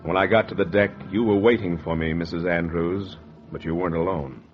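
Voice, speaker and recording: An actor playing a tough, cool-headed salvage diver in laconic 1940s radio drama style. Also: male voice, low voice, steady tone, a little fast, faint music behind, natural voice. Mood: brooding narration. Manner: laconic, hard-boiled. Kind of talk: 1940s radio acting, first-person narration and dialogue. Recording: muffled, very noisy 1940s radio recording.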